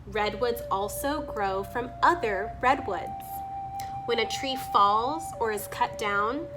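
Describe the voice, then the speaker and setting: A woman reading a children's book aloud, stopping to add her own offhand remarks about the pictures. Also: fairly high pitch. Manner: pauses to remark on the illustrations. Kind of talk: read-aloud with spontaneous comments on pictures.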